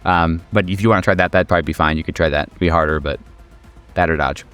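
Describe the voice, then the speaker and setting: A man's voice: sits low, fairly even intonation, quick pace, a bit bright, smooth. A man acts as game master for a horror tabletop game, with faint music underneath. He narrates off the cuff in a casual way, joking and swearing as he goes. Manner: profane, joking.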